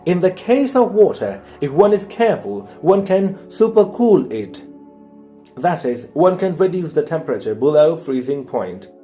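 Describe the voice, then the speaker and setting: A man reading a science audiobook aloud in a measured, explanatory way. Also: on the high side, sing-song, mellow, faint music behind. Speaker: audiobook reader. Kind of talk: scripted narration, reading a science book aloud.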